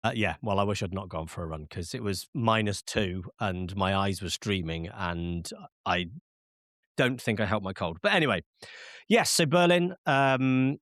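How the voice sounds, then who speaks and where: A man's voice: fairly low pitch, lively intonation, normal speed, fairly bright tone, dry recording, studio quality. A man hosting a casual film podcast, chatting with frequent fillers and restarts while sounding slightly nasal from a cold. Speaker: podcast host.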